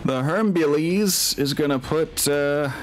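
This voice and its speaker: A man's voice: a little high, takes it slowly. A man casually running an online tabletop wargame as game master, thinking aloud through rules and rolls. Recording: music running under the voice. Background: music.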